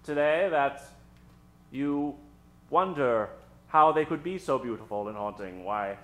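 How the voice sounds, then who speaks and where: A man hosting and narrating an old-time radio spoof on stage, with a theatrical, mock-ominous delivery full of dramatic pauses. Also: mid-range voice, animated. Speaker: host and narrator of a radio show. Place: theater stage before an audience. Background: live keyboard music.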